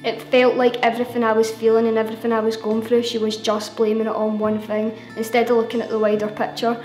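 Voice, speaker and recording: Monotone young woman. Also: fairly high pitch, fast talker. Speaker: young volunteer. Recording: roomy sound over soft music.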